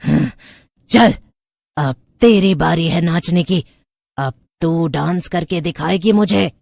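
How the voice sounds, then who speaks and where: Woman voicing a creaky, vengeful witch ghost. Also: fairly low pitch, takes it slowly, darkish tone, no room sound, exaggerated voice. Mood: taunting, commanding. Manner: commanding, theatrical. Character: vengeful female witch ghost obsessed with dancing anklets. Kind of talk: dramatic horror cartoon story acting.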